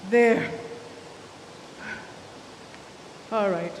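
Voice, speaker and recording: A woman's voice: mid-range voice, a little slow, close to an everyday voice. A woman playing a tough, suspicious smuggler with blunt, earthy speech in an old-time radio drama, recorded in a hall. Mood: grim, decisive. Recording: echoey live hall, faint noise.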